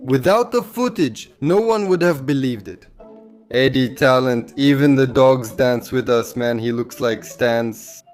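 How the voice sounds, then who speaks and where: A hoarse man hosting a comedy show and reading viewer comments with quick, jokey asides. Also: mid-range voice, soft background music.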